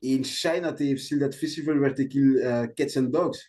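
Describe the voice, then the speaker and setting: A man from Belgium, a guest in a casual podcast talk, speaking earnestly with many pauses and restarts in a language that is not his first. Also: medium pitch, warm tone. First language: not English. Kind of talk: casual conversation.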